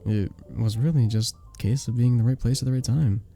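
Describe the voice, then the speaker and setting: Man acting a dark, velvety, gentle vampire bartender. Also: medium pitch, brisk, faint music behind, lightly acted. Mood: modest, amiable. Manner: gentle, polite, slightly formal, bashful chuckles. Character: charming, sweet, modest young-looking male vampire bartender. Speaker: voice actor in an audio roleplay. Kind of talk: soft-spoken ASMR roleplay acting.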